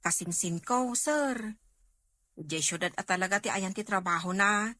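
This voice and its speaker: A woman playing a cheerful, teasing young farm woman in an expressive audio drama performance. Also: medium pitch, unhurried, no room sound. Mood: polite, deferential. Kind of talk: amateur Filipino audio drama acting.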